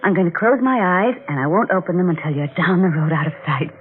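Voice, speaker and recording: Actress as gushing young sweetheart, tinny old radio. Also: female voice, on the low side, lively intonation, fast, slight background noise, natural voice. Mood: dreamy, happy. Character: dreamy, excitable, sweet young woman in love. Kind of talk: 1940s radio acting. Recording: tinny 1940s radio recording with music.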